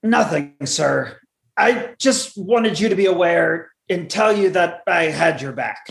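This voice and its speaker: A man's voice: high voice, animated, measured pace, vocal fry, echoey room, heavily acted. A man playing an earnest, loyal lieutenant in a sci-fi radio drama, hesitant and deferential, often stammering. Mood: worried. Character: earnest, anxious, loyal young male lieutenant.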